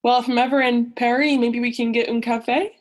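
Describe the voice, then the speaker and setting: A young woman acts a self-absorbed French oceanographer, a man with a high, light voice. The manner is breezy and dismissive, suited to radio comedy-drama. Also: steady tone, a little fast, natural voice. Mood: baffled, dismissive. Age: young adult. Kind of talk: student radio play acting.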